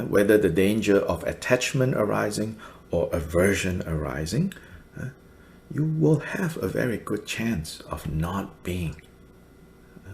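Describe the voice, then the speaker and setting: A middle-aged man from Malaysia gives a Buddhist commentary in a very low, slow voice. His pitch swings widely as he leans into key words, and he talks in halting bits full of fillers and small laughs. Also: gravelly. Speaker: Buddhist teacher. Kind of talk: dharma teaching commentary.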